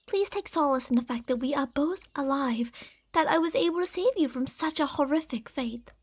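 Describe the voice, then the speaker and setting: Female performer as obsessive serpent woman for ASMR roleplay; high voice, soft formal delivery, soothing with a deceitful, possessive edge. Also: normal speed, close to an everyday voice. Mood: tender, comforting. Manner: soft, formal, soothing speech with breathy whispers.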